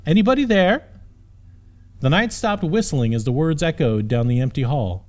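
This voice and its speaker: A man reading a scripted story as an audiobook narrator, with expressive storytelling delivery. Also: mid-range voice, normal speed.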